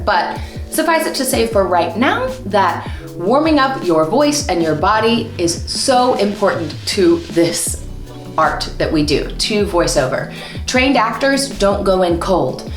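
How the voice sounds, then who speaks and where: An animated woman in her thirties, talking straight to camera and gesturing as she explains things. Her pitch leaps around, and her voice rings in a roomy echo with music underneath. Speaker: voice actor and host. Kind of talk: upbeat instructional vlog. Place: small room at home.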